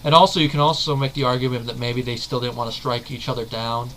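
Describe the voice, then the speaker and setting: A man's voice: medium pitch, little pitch movement, quick pace, a bit bright, slight background noise. A man hosting a video, giving casual, rambling fan commentary and correcting himself as he goes. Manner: rambling, leans on the filler "basically"; analytical, self-correcting. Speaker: video host.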